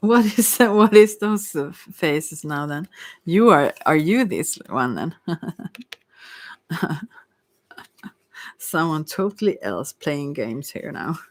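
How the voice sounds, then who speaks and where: Non-native English speaker, tarot card reader, mid-range pitch, slow rambling delivery, trailing-off phrases, unscripted ASMR. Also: big pitch swings, no echo. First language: not English.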